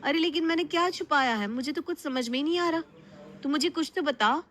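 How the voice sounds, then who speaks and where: A woman voicing a gentle, bewildered elder sister-in-law in a family story, questioning with affection. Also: high voice, fast, voice pushed up. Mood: puzzled, pleading. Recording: clear background noise.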